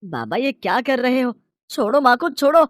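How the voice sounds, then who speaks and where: A woman playing an earnest, protective young student son in an animated Hindi moral story. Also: fairly high pitch, normal speed, no echo, clean studio sound. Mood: alarmed. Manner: earnest, emphatic.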